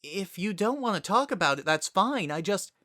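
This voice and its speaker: A man voicing a gentle, hesitant, caring young female pony in a storybook reading. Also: sits high, very animated, moderate pace, no echo, studio quality, voice pushed up, heavily acted.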